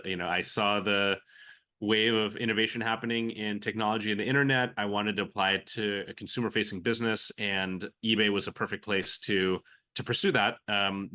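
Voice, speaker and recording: A man with a bright, forceful voice pitched a little low speaks with an American accent. He is a guest on an interview podcast. He talks reflectively and piles up fillers and restarts as he goes. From Philippines. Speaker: venture capital guest.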